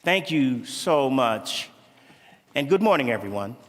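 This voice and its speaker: Gracious bandleader in a reverberant arena. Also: male voice, mid-range voice, sing-song, unhurried, a crowd in the background, background noise. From Florida, USA. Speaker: jazz pianist and bandleader. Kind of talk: formal speech to an audience. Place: large indoor arena.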